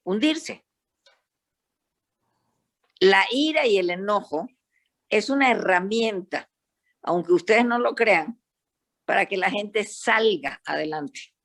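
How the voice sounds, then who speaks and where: An older woman from Panama with a Panamanian accent, talking as a psychologist guest in a panel discussion and telling stories in an animated, emphatic way. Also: medium pitch, takes it slowly, long pauses. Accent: Panamanian Spanish.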